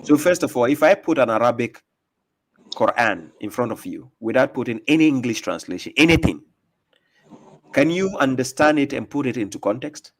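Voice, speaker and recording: A man's voice: mid-range voice, expressive, normal speed, no echo. A man with a Ghanaian accent hosting a live religious debate, pressing his guest with pointed, challenging questions. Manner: pressing, challenging questions, rising sharply when countering.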